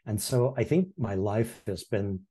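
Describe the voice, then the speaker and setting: A man in his sixties from Hawaii, telling his life story in a relaxed, reflective way as a podcast guest, with frequent ums and restarts. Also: fairly low pitch, unhurried, slightly rough. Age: 60s. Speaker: podcast guest, former history teacher. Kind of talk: casual interview storytelling.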